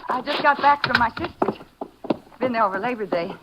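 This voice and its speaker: An actress playing a talkative, fretful rancher's wife in a 1950s radio drama. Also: female voice, on the high side, fairly quick, natural voice. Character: chatty rancher's wife, adult woman. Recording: noisy muffled old radio broadcast.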